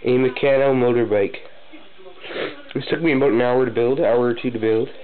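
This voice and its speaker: A man's voice: fairly even intonation, moderate pace. Young guy with a cold, tinny audio. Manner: casual, hesitant, many fillers and restarts. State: has a cold, sniffling. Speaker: hobby builder showing a model. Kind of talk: casual show-and-tell vlog. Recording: tinny phone-like low-fi audio, faint noise.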